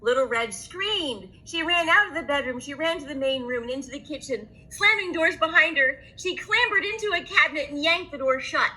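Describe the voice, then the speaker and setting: A woman's voice: high-pitched, lively intonation, a little fast, few pauses, sharp tone, rough recording. A woman reading a children's story aloud as a storyteller.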